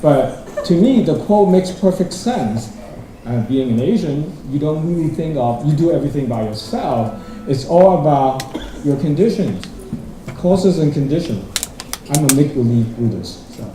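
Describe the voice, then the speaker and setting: A middle-aged man from Hong Kong gives a loose, self-deprecating talk and keeps circling back to restart his sentences. His voice sits a little high but sounds mellow and dark. He is picked up from a distance in a large, very echoey hall with faint background hum. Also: animated, moderate pace. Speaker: guest speaker. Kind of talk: conference talk.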